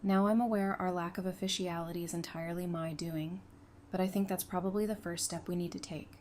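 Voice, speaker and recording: A woman's voice: fairly low pitch, fairly even intonation, a little fast, a little mellow, slight background noise, close to an everyday voice. An actress playing a sensible, affectionate, plain-spoken woman in an audio drama. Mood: frank. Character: frank, teasing, sensible woman, affectionate with her sweetheart.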